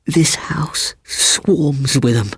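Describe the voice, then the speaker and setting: A man voicing a secretive, bitter, frightened schoolboy with a British accent and hushed, breathy delivery in a story reading. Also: mid-range voice, drawn-out words, no echo, voice pushed up, full character voice. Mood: hushed, eerie.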